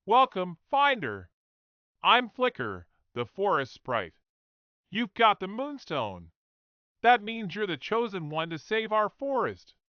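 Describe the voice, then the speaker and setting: Tiny winged sprite for a children's storybook, played by a man: high, lilting, cheerful and encouraging. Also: wildly swooping pitch, slow pace, no room sound, muffled recording, heavily acted. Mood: excited welcome.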